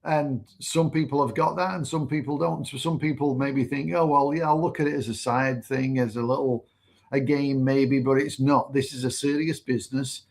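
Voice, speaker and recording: Male co-host, relaxed and conversational, mid-pitched everyday voice, casual livestream talk. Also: moderate pace, vocal fry. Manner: laid-back, conversational, adds to her points.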